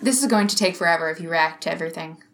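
A woman's voice: deep voice, very fast. A woman playing a sensible, skeptical female police detective with a dry, matter-of-fact delivery.

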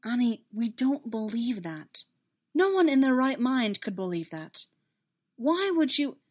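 An earnest, loving woman from an audiobook romance, put on by a female reader. She is firm and insistent, leaning on her words in a fairly high voice. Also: very animated, measured pace, a little mellow. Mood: pleading. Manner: emphatic, insistent.

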